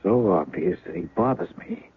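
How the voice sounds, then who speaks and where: A husky, guarded ex-husband in an old radio mystery, tinny broadcast sound. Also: male voice, on the low side, expressive, moderate pace, heavily acted. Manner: guarded, urgent, suspicious. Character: wary man claiming to be her ex-husband. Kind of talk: 1970s radio drama acting.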